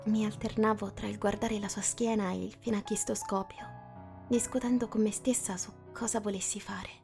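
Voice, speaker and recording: A woman with a mid-range voice reads a story in the first person for a live reading. Her delivery moves between narration and casual chat, with soft music behind. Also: mid-range voice, steady tone, moderate pace, gravelly.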